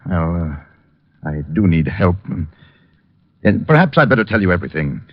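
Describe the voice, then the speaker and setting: Grave, worried dentist, husky and animated, vintage radio play. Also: male voice, deep voice, normal speed, dry recording. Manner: hesitant, grave. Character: anxious, hesitant male dentist fearing for his life. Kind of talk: 1940s radio drama acting. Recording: very thin, phone-like old radio recording.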